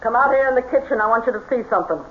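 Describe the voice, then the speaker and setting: A woman's voice: on the high side, natural voice. An actress playing a scheming, bossy female spirit medium in an old-time radio drama, with a commanding manner. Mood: scheming, brisk. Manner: commanding, slow hypnotic orders to her subject. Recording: noisy, tinny old radio broadcast.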